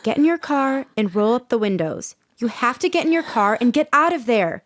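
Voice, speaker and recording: Woman doing anxious lady radio host in an audio play, high voice, very animated, pressing with questions. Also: normal speed, no room sound, clean studio sound, close to an everyday voice. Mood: alarmed, urgent. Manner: urgent, insistent questioning. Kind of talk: modern radio drama acting.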